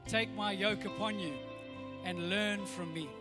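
A middle-aged South African man with a slightly croaky voice, praying and preaching a sermon with earnest, rising emphasis. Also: high-pitched, steady tone, unhurried, over loud music. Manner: earnest, builds to rousing emphasis. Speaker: pastor. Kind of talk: sermon with prayer.

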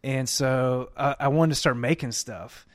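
A man's voice: mid-range voice, measured pace. A man in his thirties telling a rambling story on a podcast, laughing often and circling back on himself. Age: 30s. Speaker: podcast host. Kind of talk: casual chat.

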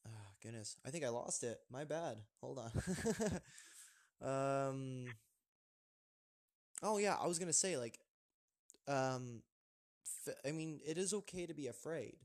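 A man's voice: mid-range voice, lively intonation, takes it slowly, pauses often. A young man hosting a casual podcast chat, rambling with lots of fillers and restarts. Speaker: podcast host.

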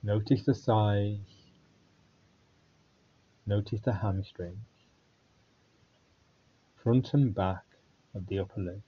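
A man guiding a body scan meditation in a calm, unhurried way with long pauses. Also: fairly low pitch, slightly rough, lo-fi sound. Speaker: meditation guide.

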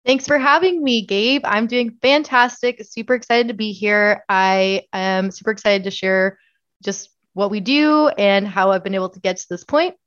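A woman's voice: medium pitch, moderate pace, fairly bright tone. Casting: American female podcast guest, informal conversational delivery, slightly lo-fi sound. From Arizona, United States. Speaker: podcast guest, digital marketing company founder. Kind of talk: casual chat.

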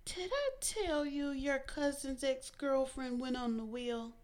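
A woman voicing a blunt, stubborn older mother who scolds loudly in folksy dialect. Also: high-pitched, takes it slowly, voice pushed up. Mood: chatty. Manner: loud, folksy and bossy, drawled dialect.

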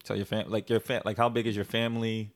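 Lively American man co-hosting with his wife. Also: on the low side. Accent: American, New Jersey area. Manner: animated, laughs often, quick asides to the audience. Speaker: podcast host. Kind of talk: casual conversation with his wife.

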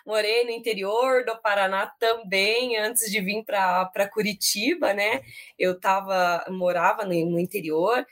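Brazilian woman in her 30s, interior sao paulo accent w long r, casual interview storytelling, mid pitch. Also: steady tone, measured pace, fairly bright tone. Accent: rural São Paulo Brazilian, drawn-out r. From São Paulo state, Brazil. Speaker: interview guest, education professional.

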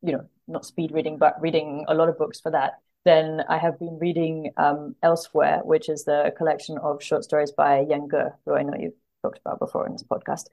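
A woman with a low voice and plenty of vocal fry talks as a podcast guest. She is conversational and thoughtful, feeling her way through each point and rephrasing as she goes. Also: flat delivery, fairly quick, dark tone. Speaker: podcast guest, literary translator. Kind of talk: casual interview chat about books.

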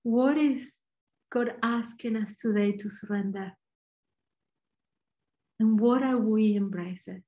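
Woman, Argentinian accent, high voice, slow and reflective, church devotional. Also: mellow, poor audio. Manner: earnest, reflective, slow pauses. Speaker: church speaker. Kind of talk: short devotional talk.

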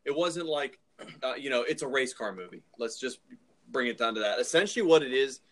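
A man's voice: mid-range voice, animated, brisk, fairly bright tone, a little hiss or hum. A man hosting a casual movie discussion in a rambling, hedging way. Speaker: podcast host.

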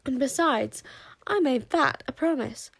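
A woman's voice: high voice, measured pace, gravelly, fairly bright tone, dry recording, voice pushed up, exaggerated voice. A woman voicing a prim, disapproving female frost sprite who lectures in a sniffy way.

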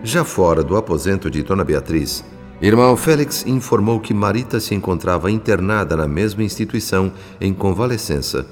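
A Brazilian man narrates a radio play in a solemn, literary style. His voice is very deep and carries a long reverberant tail, with music underneath. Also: animated, moderate pace. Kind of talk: scripted dramatic radio drama narration.

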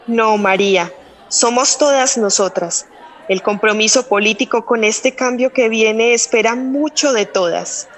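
An actress with a Colombian accent playing a sincere, modest suffragist law student in a radio drama. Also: female voice, a little high, unhurried, natural voice, rough recording. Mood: earnest warmth.

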